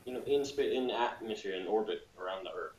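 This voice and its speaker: A man's voice: fairly low pitch, quick pace. A man in a casual chat, blunt and matter-of-fact as he explains. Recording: roomy, faint noise.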